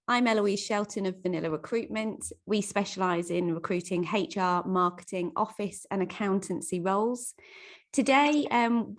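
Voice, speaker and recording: A woman hosting an online professional meeting over a video call. She talks in a friendly, loose way, with many fillers and restarts. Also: medium pitch. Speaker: webinar host.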